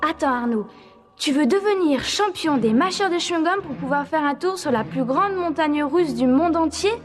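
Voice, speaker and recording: An actress voicing a precise, matter-of-fact schoolchild in a French cartoon dub. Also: female voice, high-pitched, expressive, normal speed, faint music behind. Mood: factual, incredulous.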